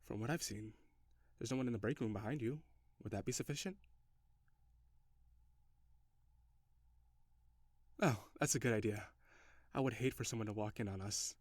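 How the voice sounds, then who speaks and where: A man's voice: on the low side, fast, darkish tone, no room sound, lightly acted. A man playing a curious, reserved alien in an intimate audio roleplay, speaking in stiff, formal, precise phrasing. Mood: polite, curious. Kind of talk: intimate scripted audio roleplay addressed to the listener.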